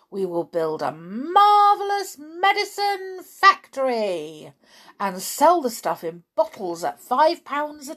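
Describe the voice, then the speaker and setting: Woman acting an overexcited, high-pitched storybook father. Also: a bit bright, heavily acted. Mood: excited, grandiose. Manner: exclamatory, bossy, breathlessly eager. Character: excitable, overbearing father, wildly enthusiastic and impatient. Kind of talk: children's storybook character voice.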